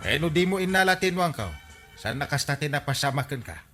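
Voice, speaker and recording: Blustery, high, swooping Ilocano villager. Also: male voice, lively intonation, a little fast, bright, forceful tone, soft background music. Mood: accusing. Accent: Ilocano Filipino. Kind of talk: Filipino radio comedy drama acting.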